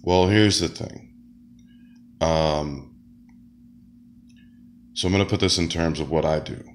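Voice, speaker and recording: Sick man with a very deep voice. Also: steady tone, takes it slowly, a little hiss or hum. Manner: rambling, many fillers and restarts. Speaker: wrestler and comedian. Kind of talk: casual monologue.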